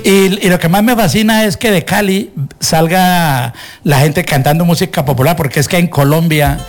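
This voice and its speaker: A man's voice: fairly high pitch, moderate pace, faint music behind. A man hosting a radio interview, chatty and teasing, asking leading questions. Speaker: radio host.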